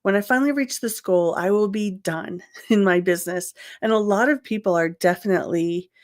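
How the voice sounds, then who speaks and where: A middle-aged woman with a stuffy, nasal voice talks through a solo podcast episode. She sounds earnest and encouraging, often pausing on an um or restarting a phrase. Her pitch sits in an ordinary middle range. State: congested, nasal from a cold. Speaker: podcast host and crochet business coach.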